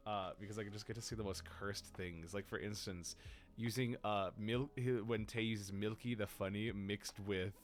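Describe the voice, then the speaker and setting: A man's voice: fairly even intonation, normal speed. Tired young man rambling on stream. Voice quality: deeper voice than usual today. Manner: rambling, playful, self-mocking. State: tired and exhausted. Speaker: stream host. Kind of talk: casual livestream chat.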